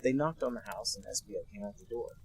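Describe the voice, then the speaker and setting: A man's voice: fairly low pitch, fast, darkish tone, a little hiss or hum. A young man, podcast co-host, narrating in a mock-serious comedic reading with dry asides.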